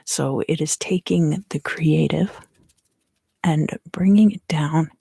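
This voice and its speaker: Woman, hushed husky ASMR tarot reader. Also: deep voice, no echo. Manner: soft-spoken, often dropping into whispers; unhurried, explanatory, with short broken phrases. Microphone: close microphone.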